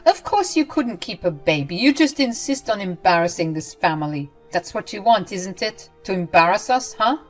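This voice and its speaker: A woman voicing a cruel, scornful older mother-in-law who yells and sneers. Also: animated, normal speed, few pauses, faint music behind, close to an everyday voice. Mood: contemptuous. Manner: shrill, contemptuous, yelling.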